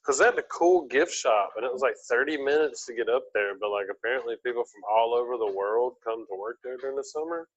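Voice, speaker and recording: A man's voice: medium pitch, fast, few pauses, husky. A man hosting a podcast, chatting loosely and telling rambling stories with hesitant restarts. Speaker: podcast host. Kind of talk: casual chat, rambling anecdotes. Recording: dull lo-fi recording.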